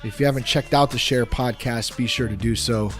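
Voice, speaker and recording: A man with a raspy voice that sounds worn and tired hosts his own podcast. He rambles in a casual, upbeat way with plenty of fillers, at an ordinary pitch for a man. Faint music plays behind him. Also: steady tone, brisk, fairly bright tone. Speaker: podcast host. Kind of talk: solo podcast monologue.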